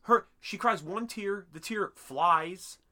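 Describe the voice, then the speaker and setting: A man in casual comedic chat, animated and swearing a lot as he recaps a cartoon plot. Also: sits high, slow pace.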